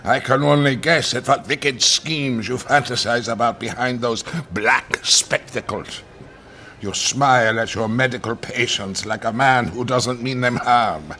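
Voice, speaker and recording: An actor playing a cynical, superior anarchist in a radio play, taunting in an arch, mocking manner. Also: male voice, mid-range voice, unhurried, sharp tone, slight background noise.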